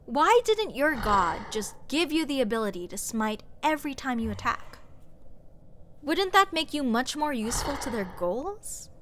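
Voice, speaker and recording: A woman's voice: high-pitched, sing-song, moderate pace, a bit bright, a little hiss or hum. A woman playing a snarky, exasperated sorceress in a fantasy audio roleplay, talking to the listener in a sarcastic, emphatic, conversational way. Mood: scoffing, nitpicking. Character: snarky young sorceress, exasperated, scoffing, nitpicking adventurer. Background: fantasy dungeon ambience.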